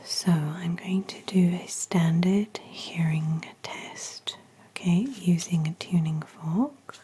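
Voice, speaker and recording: A woman in her thirties whispering slow, gentle instructions as she plays an examiner in an ASMR roleplay, recorded close to the mic in a small room indoors. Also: lowish voice, fairly even intonation, breathy edge. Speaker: ASMR artist playing an ear examiner. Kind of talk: ASMR medical exam roleplay. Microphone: close-up microphone.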